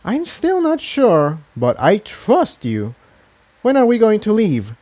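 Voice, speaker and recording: A man's voice: sits high, huge pitch swings, slow pace, dark tone, pitched above the performer's own voice, heavily acted. A man voicing a wary, anxious female pony with a worried, questioning manner in a fantasy story reading. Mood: unsure.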